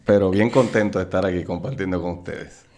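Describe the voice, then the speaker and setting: Gravelly low-voiced Puerto Rican man. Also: fast talker, darkish tone, a little hiss or hum. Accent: Puerto Rican Spanish. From Puerto Rico. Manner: laughs often while recalling old times. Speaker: guest. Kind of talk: casual storytelling interview.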